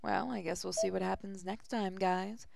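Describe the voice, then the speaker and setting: A woman's voice: mid-range voice, steady tone, moderate pace, creaky, heavy background noise. A woman hosting a gaming channel, reading visual novel text aloud in a casual way. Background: music.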